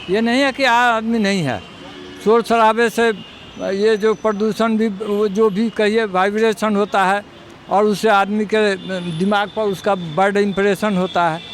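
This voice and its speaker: A man giving his opinion to a reporter on a busy street, earnest, and quoting a couplet to make his point. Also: high voice. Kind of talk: street interview. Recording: faint traffic noise.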